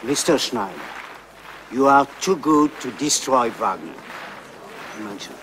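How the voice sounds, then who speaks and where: An actor playing a calm, reassuring male opera colleague. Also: mid-range voice, a little slow, noisy background, natural voice. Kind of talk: film drama acting. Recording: film soundtrack with crowd murmur.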